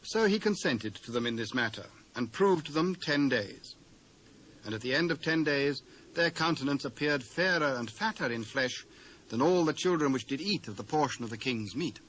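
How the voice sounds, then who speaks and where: British guy reading the bible as narrator, measured and formal, mid pitch, faint tape noise. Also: animated, a bit bright. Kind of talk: scripted scripture narration. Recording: noisy old cassette transfer.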